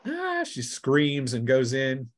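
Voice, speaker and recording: A man's voice: mid-range voice, huge pitch swings, takes it slowly. A man acting as game master of a tabletop role-playing game, narrating casually off the cuff and throwing in vocal sound effects. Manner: acts out sound effects and cries.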